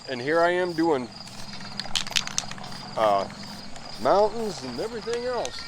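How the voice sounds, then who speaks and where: A man's voice: fairly high pitch, very animated, slow, noisy background. A man in his fifties giving a rambling, self-deprecating running commentary as he spray paints. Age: 50s. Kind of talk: running commentary while working.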